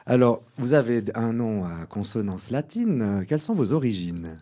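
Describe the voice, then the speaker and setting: A man's voice: mid-range voice, very animated, normal speed, mellow. A man hosting a live radio show, presenting and interviewing.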